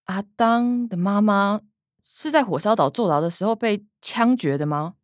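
A woman's voice: medium pitch, normal speed, dry recording, clean studio sound, natural voice. A woman playing a blunt, shrewd grown daughter in a radio drama, curt and questioning with a Taiwanese accent. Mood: probing.

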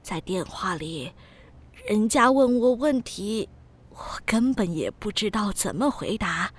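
An elderly grandmother in an audio drama. She talks slowly in a husky voice, kindly and chatty, with a fretful streak. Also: medium pitch, lively intonation. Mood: fretful, pleading. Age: older. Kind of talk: Mandarin audio drama acting.

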